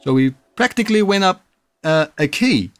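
A man's voice: sits high, expressive, normal speed, dry recording. A man presenting a music production tutorial in a casual, explanatory, informal way. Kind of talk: casual software tutorial narration.

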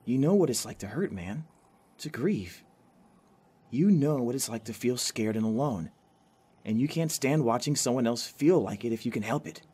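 A man's voice: mid-range voice, expressive, normal speed, dark tone, slightly rough. A man with an American accent playing an earnest, jittery, caring young survivor in a zombie apocalypse audio drama. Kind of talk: fan audio drama acting.

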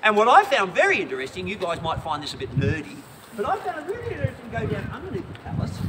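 A man leads a walking tour with a lively, sing-song delivery and a fairly high voice, cracking wry asides to the group. He is caught from a distance on a phone outdoors, with loud wind and traffic over him. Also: quick pace. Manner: wry, conversational, addresses the group as folks. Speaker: tour guide. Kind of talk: guided tour talk. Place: outdoors in a city square and harbour. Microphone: vlogger's phone, from some distance.

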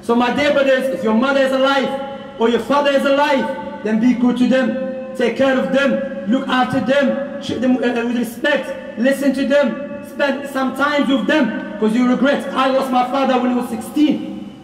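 High-voiced preacher in echoey hall. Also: male voice, steady tone, moderate pace. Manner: impassioned, often raises voice to a shout. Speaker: imam giving Friday sermon. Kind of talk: sermon. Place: mosque prayer hall with plain walls. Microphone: handheld mic on stand, filmed from across room. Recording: echoey hall, distant mic.

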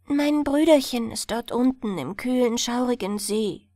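A woman's voice: on the high side, a little slow, mellow, no room sound, clean studio sound, lightly acted. A woman reading a bedtime fairy tale, voicing an innocent, trusting little girl with an artless, childlike manner. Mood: sad, longing.

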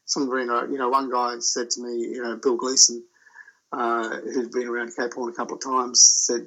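A man talking about his sailing voyage as an interview guest, telling it in a halting, loose way. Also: mid-range voice, flat delivery, pushed and bright, lo-fi sound. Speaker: solo sailor guest. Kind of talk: casual interview chat.

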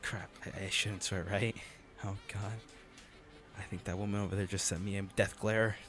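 A man playing a devoted, teasing and self-blaming boyfriend in a romantic audio roleplay, speaking haltingly and tenderly. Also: on the low side, level tone, a bit bright, soft background music, natural voice. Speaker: voice actor.

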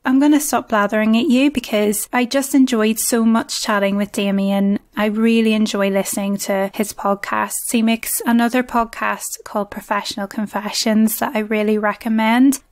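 A woman hosting a podcast over a video call, chatty and quick to laugh. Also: on the high side, fairly even intonation. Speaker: podcast host. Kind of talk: casual podcast chat.

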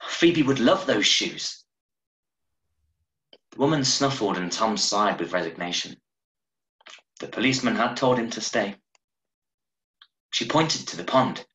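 A man in his thirties reading a short story aloud in a measured, dramatic way, recorded at home over a video call. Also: on the low side, expressive, a bit bright. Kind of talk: expressive audiobook-style story narration. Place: small room at home, indoors. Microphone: video call from a desk, wearing earphones. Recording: roomy, echoing home webcam recording.

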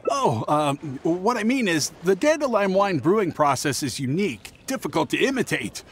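A nervous young merchant from a fantasy game. He talks slowly and evasively, with stammers and a widely swinging pitch. Faint ambience sits behind the voice. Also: slight background noise, heavily acted. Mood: awkward, covering up. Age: young adult. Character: shifty, nervous young male traveling merchant. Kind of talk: scripted fantasy game voice acting.

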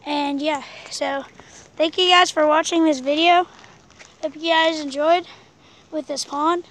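A woman chatting casually in an outdoor vlog, filming by a pool on a handheld phone, loose with many fillers and dropping quiet while she searches. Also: sits high, fairly even intonation, measured pace. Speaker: vlogger. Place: outdoors by an abandoned pool on rural land. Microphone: handheld camera phone filming the water.

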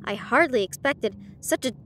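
A woman reading classic fiction aloud in a slightly halting manner, as in an intimate audio roleplay. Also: fairly high pitch, animated, a bit bright, slight background noise. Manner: halting, stumbles over difficult words, giggly. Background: ambient sound effects.

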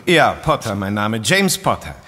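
An actor playing an evasive, officious cinema manager in a horror audio drama. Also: male voice, lowish voice, big pitch swings, measured pace, pushed and bright, full character voice. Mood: stonewalling. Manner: stonewalling, officious. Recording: long hall reverb.

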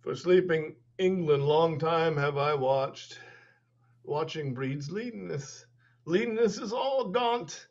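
A man in his forties playing a dying old English duke in a Shakespeare reading over a video call, declaiming slowly and with passion. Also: on the high side, a little mellow. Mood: bitter. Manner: declamatory, slow and impassioned. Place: home room. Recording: muffled video call audio.

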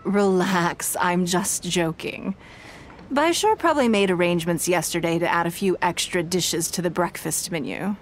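A woman voicing a poised, elegant noblewoman leader in a video game, composed, gracious and measured. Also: fairly low pitch, slight background noise, natural voice. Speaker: game character.

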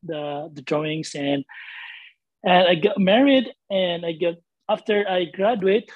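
A man's voice: high voice, a little slow, dry recording, muffled recording. A man in his forties from the Philippines with a Filipino accent, a guest painter chatting casually in an interview over a video call from his home studio. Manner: relaxed, rambling, with frequent laughs and fillers. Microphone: webcam on video call.

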